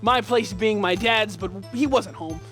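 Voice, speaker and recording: A man's voice: sits high, measured pace, fairly bright tone, soft background music. A young man telling a comedic personal story in a playful, slangy, punchy way, as host of his channel.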